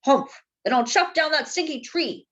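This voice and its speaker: A woman's voice: high-pitched, moderate pace, a bit bright, pitched above the performer's own voice. A woman voicing a cranky, bossy male mayor in a storybook, growling and snapping. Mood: gruff.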